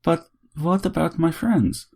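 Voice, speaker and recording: A man's voice: on the high side, a little slow, vocal fry, darkish tone, husky, pitched above the performer's own voice, exaggerated voice. A man voicing a robot with an old wife's personality, using a feminine, scolding intonation for a story. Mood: pleading.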